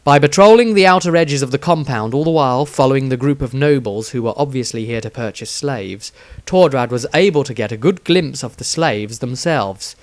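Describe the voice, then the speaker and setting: A man narrates a fantasy tale in a mid-pitched, very animated voice. His delivery is dramatic, with deliberate pauses, and the recording is dry. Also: no room sound. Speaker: author reading own story. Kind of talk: scripted fantasy story narration.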